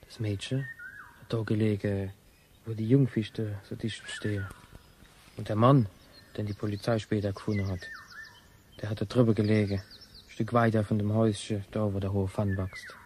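Low, mellow-voiced brooding woodsman, acted by a man. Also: little pitch movement, moderate pace, long pauses, a little hiss or hum, natural voice. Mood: wary, curt. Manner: terse, brooding, colloquial. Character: gruff, wary, stubborn older woodsman.

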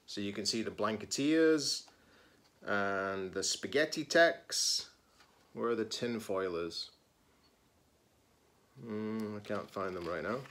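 A man's voice: lowish voice, slow pace, long pauses, a bit bright. A man in his forties, a teacher-librarian, reading a children's picture book aloud expressively, stressing key words and adding casual asides.

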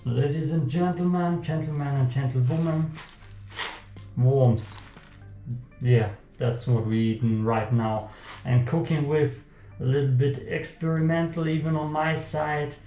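Slow-talking young man with a German accent. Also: a little high, steady tone, warm tone, lo-fi sound. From German-speaking country. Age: young adult. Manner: joking, self-talk while working. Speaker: cooking vlogger. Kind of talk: casual cooking vlog. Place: small home kitchen, indoors. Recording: echoey kitchen, faint music.

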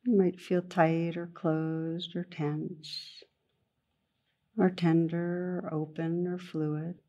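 A woman guides a meditation in a low, mellow voice. Her delivery is very slow and gentle, with long pauses between phrases. The melody stays flat and even, like a teacher leading a calm practice. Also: dull audio.

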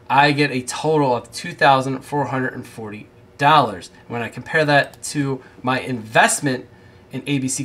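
An American man in his thirties gives an explanatory tutorial into a close microphone. His voice is bright and forceful at an average male pitch. He talks informally, like an instructor who doesn't mind poking fun at himself. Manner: informal, self-deprecating asides. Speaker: accounting instructor. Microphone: close microphone on a boom arm.

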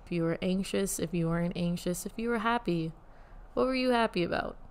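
A woman hosting her own podcast in casual solo talk, with a soothing voice, gently guiding listeners through a short meditation. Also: on the low side, brisk, smooth. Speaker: podcast host. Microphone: USB studio microphone.

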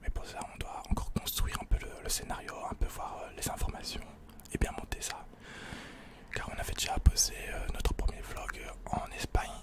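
A man, answering questions in an unhurried, breathy ASMR whisper close to the microphone. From Belgium. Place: small attic room indoors. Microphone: desk condenser microphone, close.

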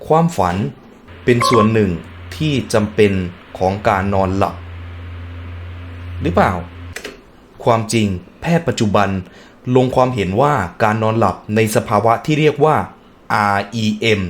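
A man's voice: fairly low pitch, animated, normal speed, slight background noise. A man hosting a reaction video, reading text aloud and breaking off into casual musing remarks and hums.

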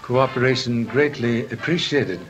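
An actor playing a calm, polite older male detective who speaks in terse, clipped sayings in a 1930s film. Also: medium pitch, measured pace, highs cut off, over music, natural voice.

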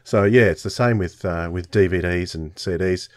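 A middle-aged man, hosting a casual podcast chat in halting, hesitant phrases. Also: low-pitched, dry recording. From Australia. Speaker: podcast host. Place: indoors on a video call, close dry sound.

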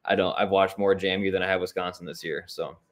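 A young man with a somewhat low voice co-hosting a casual sports podcast over a video call. He talks in an easygoing, hedging way and is quick to laugh at himself. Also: little pitch movement. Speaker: podcast co-host.

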